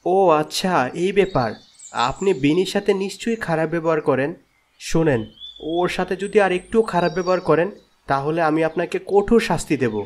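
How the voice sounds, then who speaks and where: A man's voice: on the high side, brisk, lightly acted. A man playing a devoted, earnest young prince in love in a fairy-tale cartoon, with a romantic manner. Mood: stern.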